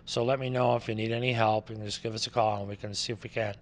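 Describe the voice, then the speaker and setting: A man's voice: fairly low pitch, level tone, fast. A man gives an informal, conversational product overview, explaining things as a distributor would. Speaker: loudspeaker distributor presenting products.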